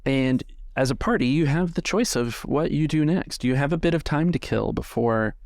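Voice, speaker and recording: A man's voice: mid-range voice, moderate pace, gravelly, a little mellow, dry recording. A man narrating a tabletop game as game master. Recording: dry close recording, music behind.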